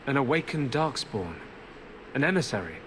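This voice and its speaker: Earnest warden mage, noisy background. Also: male voice, medium pitch, sing-song, normal speed, fairly bright tone. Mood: thoughtful. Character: earnest male mage, former Warden, tormented and tender. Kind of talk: video game cutscene acting.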